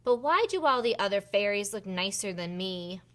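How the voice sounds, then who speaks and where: A woman's voice: medium pitch, lively intonation, normal speed, a bit bright, lightly acted. A woman voicing a naughty little girl fairy in a picture book, puzzled and innocent, full of questions.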